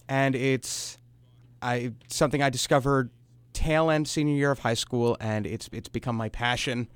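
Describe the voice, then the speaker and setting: A man's voice: mid-range voice, smooth, no room sound. A nineteen-year-old American man giving casual interview answers as a guest on a show. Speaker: guest, amateur actor.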